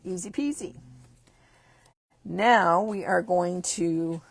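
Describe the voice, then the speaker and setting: A hoarse woman with a croaky voice, chatting upbeat through a card-making tutorial step by step. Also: fairly low pitch, takes it slowly. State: hoarse, losing her voice. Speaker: craft demonstrator.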